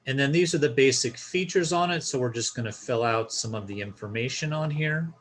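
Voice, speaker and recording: A man's voice: medium pitch, brisk, fairly bright tone. A man presenting an informal step-by-step tutorial, explaining a screen share in a casual instructional style.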